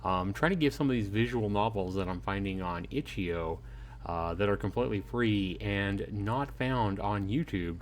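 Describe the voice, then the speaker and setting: A man hosting a casual livestream, chatting informally and in a friendly way, addressing viewers by name. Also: on the low side. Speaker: livestream host. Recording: clearly noisy home livestream.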